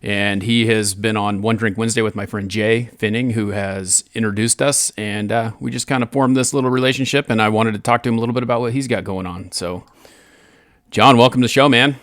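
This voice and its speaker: An American man hosts a casual interview show in a conversational style. His voice sits a little low and is recorded close and dry, with an informal way of putting questions. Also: steady tone, fairly quick, a bit bright, no room sound. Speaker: podcast host, interviewer.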